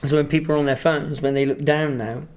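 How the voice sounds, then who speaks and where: A man's voice: on the high side, flat delivery, quick pace, darkish tone. A man with an English accent that drifts toward Australian, co-hosting a casual podcast chat and ranting with plenty of swearing. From England. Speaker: podcast co-host. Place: Sydney.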